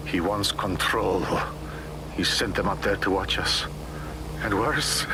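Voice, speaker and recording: A man playing an anguished, guilt-ridden son leaving a halting, sombre confession in a video game. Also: medium pitch, background noise. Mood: bitter, fearful. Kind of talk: game cutscene audio message.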